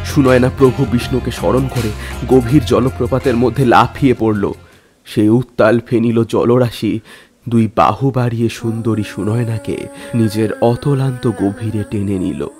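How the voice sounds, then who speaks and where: A man reading a dramatic story as a narrator, with measured, literary delivery. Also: medium pitch, fairly even intonation, darkish tone. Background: music.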